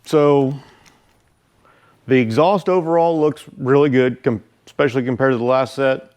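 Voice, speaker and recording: A man giving a casual product review as a motorcycle vlogger, speaking informally and haltingly. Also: medium pitch, fairly even intonation, darkish tone. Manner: informal, halting, unhurried delivery.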